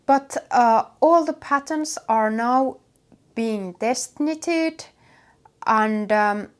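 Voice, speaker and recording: A woman with a Finnish accent chats casually to her viewers. Her voice sits a little high, and she speaks very slowly and hesitantly, pausing often as she searches for the next words. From Finland. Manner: hesitant, halting with many pauses. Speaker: knitwear designer and vlog host.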